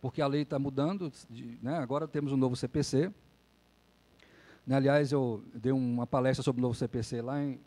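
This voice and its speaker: A man's voice: medium pitch, steady tone, a little fast, warm tone. A man, a lawyer, giving a conversational public lecture and often tagging sentences with a questioning "né?". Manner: conversational, frequent "né?" tag questions.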